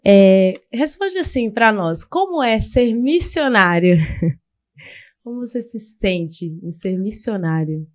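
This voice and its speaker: A woman leads a church podcast interview, answering her guest again and again with praise. Her voice has a medium pitch, and the sound is dry and close to the mic. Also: lively intonation, slow pace, no room sound. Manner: affirming, with frequent "glória a Deus". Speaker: podcast host.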